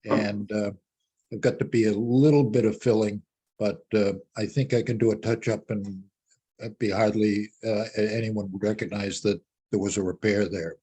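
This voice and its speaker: Male club member, slightly rough husky voice, casual, halting phrasing on a group call. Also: lowish voice, steady tone, mellow. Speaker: club member asking a question.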